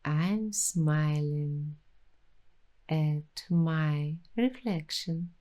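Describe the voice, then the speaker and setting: A woman hosting an ASMR roleplay, speaking softly and reflectively in gentle, affirming words. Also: low voice. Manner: soft, slow, reflective and affirming. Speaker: ASMR host.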